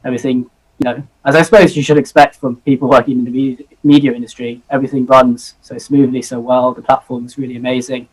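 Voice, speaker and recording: A man's voice: medium pitch, level tone, fast, husky, poor audio. Earnest young man on video call. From Hong Kong. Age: 20s. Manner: earnest, eager, many ums and uhs. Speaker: zoology student guest. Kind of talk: live video-call interview.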